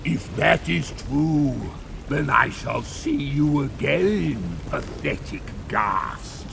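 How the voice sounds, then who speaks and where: An actor voicing a gleefully devout, sinister plague sorcerer in a fantasy game, savouring archaic words in a gravelly, theatrical voice. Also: male voice, mid-range voice, expressive, slow, noisy background, heavily acted. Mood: triumphant. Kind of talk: fantasy game villain acting.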